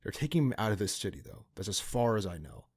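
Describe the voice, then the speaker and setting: A man playing a cynical, pragmatic partner in crime to a vigilante in an ASMR roleplay, talking in a casual, halting, conspiratorial way. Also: on the low side, very animated, husky, full character voice. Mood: matter-of-fact. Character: cynical, pragmatic male criminal accomplice to a vigilante.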